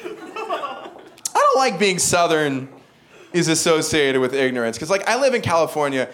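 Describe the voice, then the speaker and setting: A man's voice: fairly high pitch, huge pitch swings, a little slow, noisy background. A young man from the American South with no regional accent, performing a stand-up comedy set with dry, self-mocking asides. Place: comedy club stage with a live audience. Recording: live club recording with loud audience laughter.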